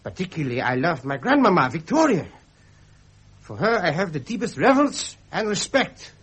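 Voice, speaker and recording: An actor playing a brash, opinionated young German prince in a 1970s radio drama, speaking in a formal, pompous, insistent manner. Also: male voice, sits high, big pitch swings, moderate pace, fairly bright tone, slightly rough, exaggerated voice. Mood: earnest, formal. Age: young adult.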